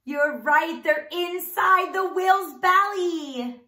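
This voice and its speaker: A woman in her thirties doing an animated read-aloud for young children, enunciating with exaggeration and asking them questions as a teacher would. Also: very high-pitched, slow pace, reverberant. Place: small indoor room.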